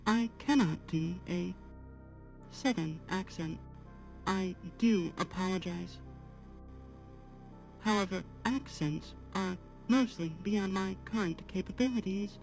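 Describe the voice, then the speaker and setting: Earnest female AI streamer, acted by a woman, halting formal delivery at a very unhurried pace, soft music underneath. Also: mid-range voice, vocal fry. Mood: earnest, apologetic. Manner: measured, halting, formal, avoiding contractions. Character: polite artificial-intelligence female assistant, apologetic and gently earnest. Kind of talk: slow guided talk to a live chat.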